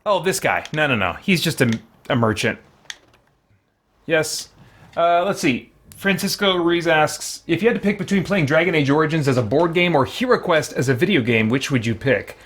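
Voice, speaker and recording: A man giving casual, halting live-stream commentary on a game, thinking aloud as he goes. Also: a little high, lively intonation, a bit bright.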